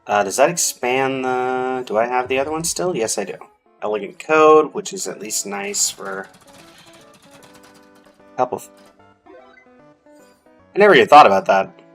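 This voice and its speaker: Male game streamer, medium pitch, offhand self-directed commentary, game music in the background. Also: very animated, a little slow, gravelly, long pauses, a bit bright. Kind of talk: casual gameplay commentary. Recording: music behind the voice.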